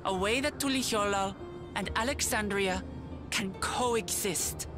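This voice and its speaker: An actress playing an earnest, resolute young female ruler in a video game, firm and measured. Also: medium pitch, music in the background, natural voice. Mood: solemn resolve. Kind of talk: dramatic video game acting.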